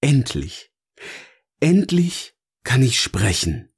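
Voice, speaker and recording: Man acting as sardonic male narrator, eloquent, very slow delivery, theatrical, pondering, audio drama. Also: mid-range voice, very animated, creaky, breathy edge, heavily acted. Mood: musing, relieved. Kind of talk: scripted audio drama dialogue, philosophical musing.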